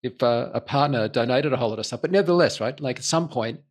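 A man's voice: mid-range voice, quick pace. A man hosting a podcast interview in a casual, informal way, thinking aloud and rephrasing his questions as he goes.

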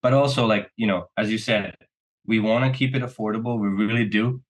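A man's voice: lowish voice, steady tone, a little fast, rough recording. A man from Serbia talking casually and earnestly as a company co-founder being interviewed, often checking in with "right?".

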